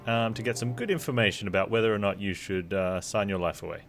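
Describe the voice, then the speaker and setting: A man's voice: fairly low pitch, quick pace, a bit bright. A man giving casual live stream commentary on a game in a sarcastic, joking way. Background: game music.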